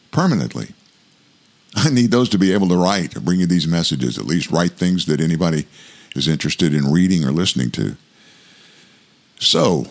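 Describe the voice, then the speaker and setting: Older man with a big, very low voice. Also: gravelly, darkish tone. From South Louisiana, US. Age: 60s. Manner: measured, reflective delivery. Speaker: commentary show host. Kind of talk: scripted political commentary monologue.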